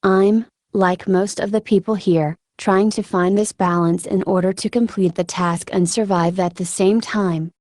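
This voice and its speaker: A woman voicing a steadfast female combat medic in conversational, halting interview answers for a translated voice-over. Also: medium pitch, fairly even intonation, darkish tone, no echo, clean studio sound, lightly acted. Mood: conversational, steady. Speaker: dubbing voice of an interviewee.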